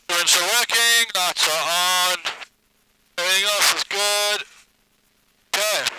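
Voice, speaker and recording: Man on a noisy cockpit intercom. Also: a little high. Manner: short clipped phrases. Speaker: pilot vlogger. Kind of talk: cockpit talk and radio calls while flying. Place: small aircraft cockpit. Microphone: aviation headset.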